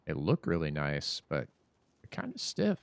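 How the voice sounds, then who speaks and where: A man's voice: extremely low pitch, wildly swooping pitch, a little slow, creaky, dry recording. A man in his thirties giving rambling, self-mocking commentary while streaming a horror game from his home setup. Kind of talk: casual gameplay commentary.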